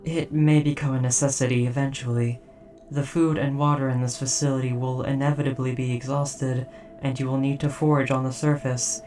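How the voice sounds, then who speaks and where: A performer voicing an old, stoic, protective military robot in a formal, measured, literal, deadpan way for a scripted audio roleplay. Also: mid-range voice, distant mic, soft background music, lightly acted. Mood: stoic, matter-of-fact.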